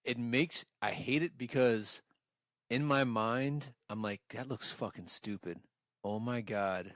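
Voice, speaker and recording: A man's voice: mid-range voice, takes it slowly, fairly bright tone, no echo, clean studio sound. A man co-hosting a podcast in casual argumentative chat, blunt and opinionated about what he dislikes. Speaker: podcast co-host.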